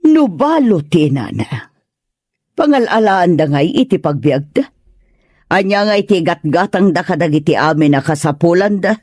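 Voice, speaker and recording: A woman's voice: on the low side, animated, moderate pace, darkish tone, no echo, clean studio sound. A woman with a Filipino Ilocano accent acting a proud, disapproving middle-aged mother in an audio drama, haughty and complaining. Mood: scheming disapproval. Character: disapproving, proud well-to-do mother opposing a wedding. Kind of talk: radio drama acting in dialogue.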